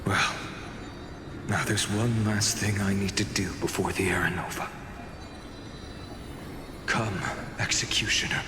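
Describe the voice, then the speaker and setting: A man's voice: fairly low pitch, steady tone, measured pace, pauses often, background noise, close to an everyday voice. A man playing an earnest, determined young fantasy hero in a game, speaking solemnly and breathily. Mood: quiet resolve. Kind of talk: dramatic game voice acting. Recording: echoey hall reverb with music behind. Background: music.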